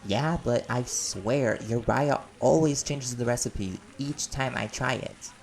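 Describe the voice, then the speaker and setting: A male actor plays an easygoing, helpful friend in an audio drama. His voice has a clear creak to it and sounds natural and conversational. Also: medium pitch, takes it slowly, noisy background. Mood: casual, amused. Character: easygoing, helpful guest at a gathering. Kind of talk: audio roleplay drama acting.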